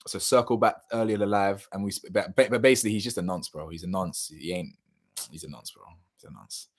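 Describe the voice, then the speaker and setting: Slangy, slightly hoarse young man. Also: on the low side. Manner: slangy, animated, laughs often, drifts between loud bursts and mumbles. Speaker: YouTuber on a live stream. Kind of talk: casual live chat reading viewer comments.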